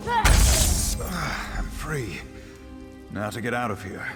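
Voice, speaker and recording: A resolute, courteous nobleman and hobby mage in a fantasy game. He speaks with composure at a middle pitch. The voice rings with long reverb over music. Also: huge pitch swings, extremely slow, exaggerated voice. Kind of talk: fantasy video game dialogue.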